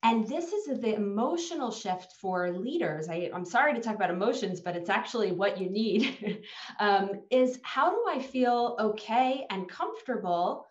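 Animated American woman on an echoey video call. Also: medium pitch, lo-fi sound. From United States. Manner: animated, gestures while talking, quick bursts. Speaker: leadership expert guest. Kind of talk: conversational video interview. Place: home office with bookshelves.

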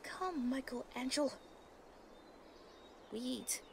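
A woman's voice: sits high, slow, gravelly, a little hiss or hum, full character voice. A woman voicing an eager young helper child in a motion comic, speaking short, stilted broken English. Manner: short, simple, stilted phrases. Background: faint music.

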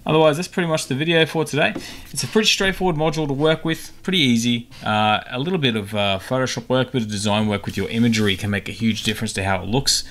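A man hosting a casual software tutorial, talking informally and a little hesitantly as he thinks aloud. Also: medium pitch, fast. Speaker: tutorial host. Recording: clicks and typing, faint background noise. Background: keyboard typing and mouse clicks.

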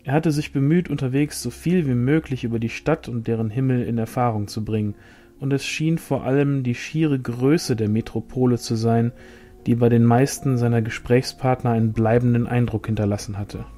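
A man reading a fantasy novel aloud as scripted narration, in a measured storytelling manner. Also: medium pitch, steady tone, dark tone. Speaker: audiobook reader.

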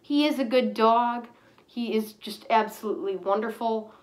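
A woman with a stuffy nose from a cold, chatting casually and rambling to camera from a small room. She often clears her throat. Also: fairly high pitch, normal speed. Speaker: fursuit vlogger.

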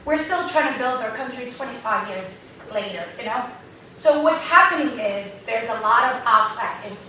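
A woman's voice: on the high side, animated, normal speed, pushed and bright. A woman from Somalia making a spontaneous comment as an audience member in a large lecture hall. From Somalia, moved to America young. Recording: echoey hall, distant mic, some noise.

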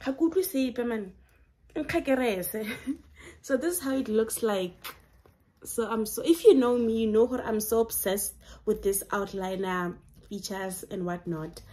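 A woman's voice: on the high side, unhurried, warm tone. Chatty South African woman vlogging. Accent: South African, Setswana. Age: young adult. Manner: chatty, enthusiastic, code-switching. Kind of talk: casual haul vlog, mixing English and Setswana. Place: bedroom at home, indoors.

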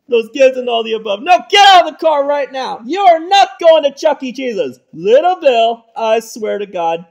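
Someone doing a shrill, frantic yelling dad. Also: vocal fry. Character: frantic furious dad, grown man. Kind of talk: homemade family drama acting.

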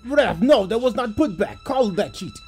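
Cocky, complaining basketball star, played by a man, high and swooping, comedy voice-over over music. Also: animated, moderate pace. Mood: whiny, indignant. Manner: exclaiming, taunting, complaining to referees. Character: cocky, whiny, trash-talking Slovenian male basketball star.

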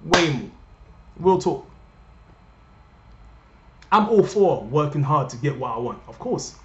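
A man's voice: fairly high pitch, very animated, slow, a bit bright. A young man from Cameroon talking earnestly to his viewers from his living room, repeating himself for emphasis. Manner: impassioned, repetitive, addresses viewers directly. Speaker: vlogger. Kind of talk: earnest personal rant to camera. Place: living room at home, indoors. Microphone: webcam at desk. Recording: roomy, dull lo-fi webcam audio.

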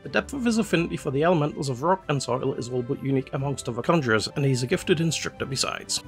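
A man reading as a calm, formal guild master. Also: medium pitch, creaky, soft background music. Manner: measured, formal reading of dialogue. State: slightly congested nose from a cold. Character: calm, learned male guild master instructing a student.

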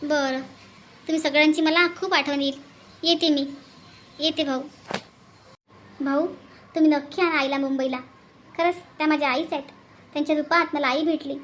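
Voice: high-pitched, steady tone, moderate pace, long pauses, a bit bright, slight background noise. A performer voicing an earnest, tender city woman in a cartoon melodrama, speaking politely, pleadingly and sentimentally. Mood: tearful gratitude. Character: earnest, tender orphanage woman from Mumbai, bidding farewell.

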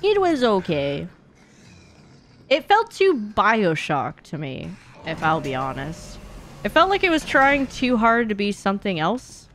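A woman's voice: mid-range voice, wildly swooping pitch, unhurried, fairly bright tone, slight background noise. A young woman giving casual live gaming commentary in a playful, slangy, chatty way. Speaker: streamer. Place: indoor streaming room. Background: horror game sounds.

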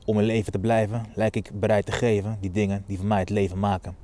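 A man's voice: on the low side, dark tone. A young man performing rhyming spoken word poetry.

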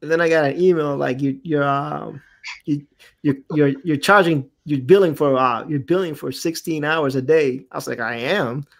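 A man's voice: fairly high pitch, normal speed, vocal fry. A man with a Puerto Rican accent hosting a casual chat, easygoing and reading chat comments aloud. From Puerto Rico. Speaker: podcast host.

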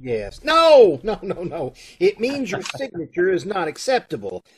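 An actor playing a fussy, flustered loan officer in an old-time radio comedy, with hesitant, businesslike patter over a video call. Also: male voice, high-pitched, big pitch swings, a little slow, creaky, a little hiss or hum, heavily acted. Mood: flustered, apologetic. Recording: live video-call recording.

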